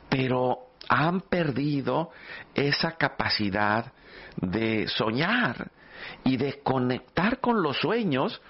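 Middle-aged male faith-program host, slightly gravelly and unhurried, halting reflective delivery, muffled audio. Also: mid-range voice. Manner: halting, searching for words with repeated phrases. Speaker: host of a religious program, evangelizer. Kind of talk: reflective faith talk with personal anecdotes.

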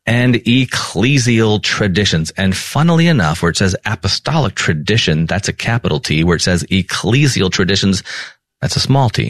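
A man's voice: low voice, fairly bright tone, no echo. An American man from Minnesota giving an informal explanatory lesson as a theology teacher, stressing and stretching key terms. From Minnesota, United States.

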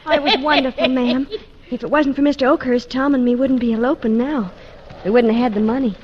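A woman's voice: sits high, steady tone, brisk, natural voice. Actress as a sweet, innocent young country girl. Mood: grateful. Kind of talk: old radio western acting. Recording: muffled old radio recording, faint hiss.

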